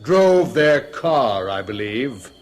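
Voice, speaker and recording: Stern, slow-speaking male official. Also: mid-range voice, very animated. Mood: commanding, displeased. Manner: formal, deliberate. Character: stern, measured male superior, quietly menacing official. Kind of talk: radio drama acting.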